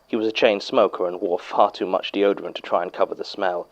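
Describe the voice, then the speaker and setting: An actor with a British accent playing an archivist who reads a witness's unsettling statement aloud in a measured, confiding horror-drama narration. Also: male voice, low voice, fairly even intonation, lo-fi sound. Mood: wry, reflective. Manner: measured, confiding, with scripted hesitations.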